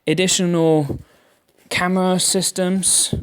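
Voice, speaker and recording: A man narrating an unboxing product review in a measured, explanatory way, phrase by phrase. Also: high voice, little pitch movement, smooth. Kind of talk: unboxing review narration over hand-held footage.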